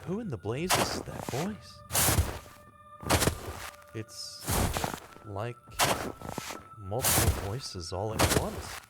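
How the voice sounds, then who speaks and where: A man playing a grave, fearful investigator hunting a monster, halting and dramatic, in a vintage-style radio drama. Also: mid-range voice, very animated. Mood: alarmed, bewildered. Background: loud dramatic music.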